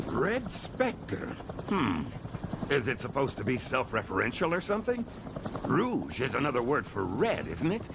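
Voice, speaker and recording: A man voicing a blustery cartoon crocodile who is a loud, gravelly detective boss. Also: mid-range voice, wildly swooping pitch, measured pace, background noise, heavily acted. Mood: confident, musing. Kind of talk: animated audio drama acting.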